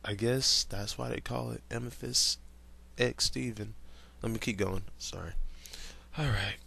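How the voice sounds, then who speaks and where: A young man reading a story aloud at an unhurried, slow pace in a gravelly, creaky voice. He trips over words now and then and stops to react with comments and laughs, all in an easygoing, casual manner. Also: medium pitch, steady tone, sharp tone. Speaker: fan fiction reader.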